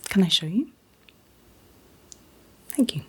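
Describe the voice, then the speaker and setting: Female ASMR whisperer, mostly unvoiced and very breathy, gentle and reassuring, very slow delivery. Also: mid-range voice, very animated. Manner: gentle, soothing, reassuring, unhurried. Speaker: ASMR performer playing an optician. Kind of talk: whispered ASMR eye exam roleplay.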